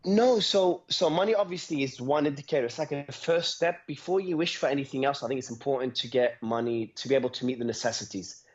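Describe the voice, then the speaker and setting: A man from Australia, an entrepreneur guest, talking fast, repeating words and laughing between phrases. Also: mid-range voice, muffled recording. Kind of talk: conversational interview.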